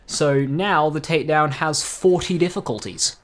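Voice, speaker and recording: A man's voice: fairly high pitch, moderate pace. Casual man giving gaming commentary. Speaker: gaming video creator.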